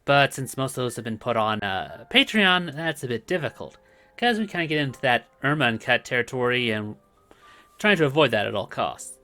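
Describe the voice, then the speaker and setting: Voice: medium pitch, fairly bright tone. A video host narrating a comic recap in a scripted but casual style, with asides along the way. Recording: music behind the voice. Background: background music.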